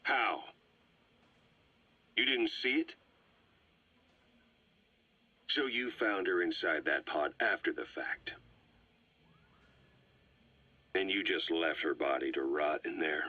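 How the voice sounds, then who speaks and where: Husky, low-voiced contemptuous interrogator. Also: male voice, lively intonation, a little slow, sharp tone, dry recording, heavily acted. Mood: probing, suspicious. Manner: pressing, sardonic, accusatory. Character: stern, accusing male military interrogator, cold and contemptuous. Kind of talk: dramatic video game acting. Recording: dull, muffled game cassette audio.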